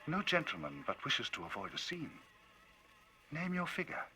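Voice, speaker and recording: A man's voice: on the high side, sing-song, normal speed, vocal fry, background noise, voice pushed up. A man playing a coldly sneering villain. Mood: sneering cool. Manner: cool, clipped, quietly contemptuous. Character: sneering cold male villain, calm.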